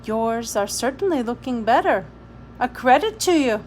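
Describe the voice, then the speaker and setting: A woman reading a storybook, voicing a bossy, iron-willed organizing lady with a crisp, brisk, authoritative manner. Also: fairly high pitch, lively intonation, a little hiss or hum, lightly acted. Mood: businesslike. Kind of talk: storybook read-aloud.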